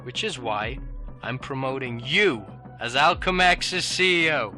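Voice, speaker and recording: A man voicing a scheming older corporate executive with a formal, persuasive manner in an animated superhero drama. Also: medium pitch, a little slow, soft background music. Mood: smooth, official.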